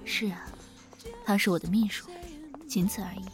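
An actress playing a composed, caring female company director in an audio drama, reserved and decisive, speaking in a calm, controlled way. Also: medium pitch, normal speed, soft background music, close to an everyday voice. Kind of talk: Mandarin audio drama acting.

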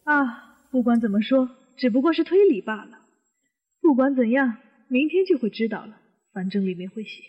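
A woman's voice: a little high, lively intonation, moderate pace. A woman acting an insistent, challenging suspect in a mystery radio drama, with pointed delivery. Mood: dismissive. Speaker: voice actor in an amateur audio drama. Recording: dull, reverberant recording.

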